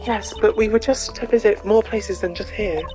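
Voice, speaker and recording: A woman's voice: mid-range voice, moderate pace, natural voice. Wistful woman singer for a game amateur female voice actor: composed, reflective, formal phrasing, music in the background. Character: serene, wistful foreign woman singer, mysterious and gracious. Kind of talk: amateur video game character voice-over.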